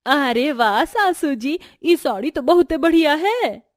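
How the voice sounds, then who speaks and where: Woman doing a high, rustic village daughter-in-law, Bhojpuri accent. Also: lively intonation, slow pace, no room sound. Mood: delighted. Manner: rustic, eager, deferential. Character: sweet, humble village elder daughter-in-law. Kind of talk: Hindi family storybook voice acting.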